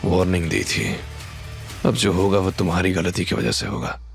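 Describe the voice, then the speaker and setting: A dangerous young male hero, acted by a narrator with a low voice. He is calm, stern and sarcastic, placing his words with menace over music in an audio drama. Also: normal speed, gravelly. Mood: low, warning.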